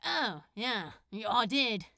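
Woman voicing a bright, laid-back male hero. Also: on the high side, very animated, takes it slowly, no room sound, full character voice. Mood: chatty. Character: laid-back, friendly male superhero captive. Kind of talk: fan fiction audiobook character.

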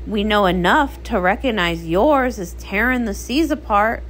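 A woman voicing an earnest, respectful but firm trench pony with a measured, solemn manner. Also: medium pitch, fairly bright tone, slight background noise, lightly acted, poor audio.

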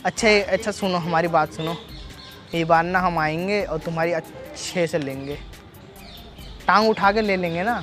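High-pitched cheeky Bhojpuri-accented young man. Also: normal speed, pauses often. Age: young adult. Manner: rustic, teasing, cheeky. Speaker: prankster. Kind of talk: staged loud phone chat for a street prank. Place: outdoors in a leafy park. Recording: outdoor recording with music underneath. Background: music.

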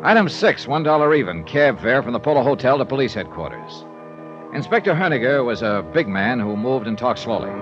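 An actor playing a wry, brisk American insurance investigator in clipped, hardboiled 1950s radio detective style. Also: male voice, medium pitch, sing-song, natural voice. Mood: crisp narration. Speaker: lead actor and narrator. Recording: dull 1950s radio recording with music.

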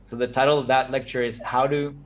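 A man's voice: medium pitch, little pitch movement, a little fast. Mexican-born man on a video call. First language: Spanish. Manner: earnest, advice-giving, laughs easily. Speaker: guest architect. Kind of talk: casual video-call chat. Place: home office with framed pictures.

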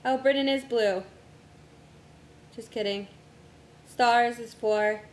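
A young woman streamer chatting casually with friends while setting up a game, her voice going hoarse from a long day of talking. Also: a little high, slow pace, reverberant, slight background noise. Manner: distracted, talks to herself while navigating menus.

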